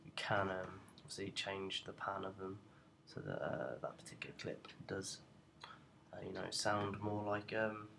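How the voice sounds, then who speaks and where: A young man giving an informal software tutorial in a hesitant, halting way. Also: low-pitched, creaky, slight background noise. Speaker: student tutorial presenter.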